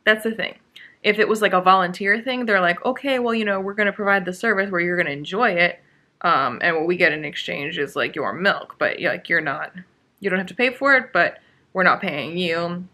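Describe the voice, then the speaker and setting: Young female book vlogger, bright and forceful lower voice, exasperated and emphatic, filming at home. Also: fairly quick. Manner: exasperated, emphatic, many fillers and restarts. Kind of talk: casual book review rant. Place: living room with bookshelves, indoors.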